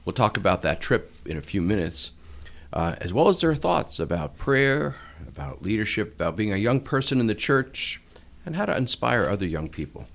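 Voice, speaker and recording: A middle-aged man with a lowish voice hosts a church podcast, welcoming guests in a friendly way. His pitch rises and falls a great deal as he talks, and he chuckles and restarts small phrases as he goes. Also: big pitch swings, moderate pace, gravelly. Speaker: podcast host, diocesan chancellor.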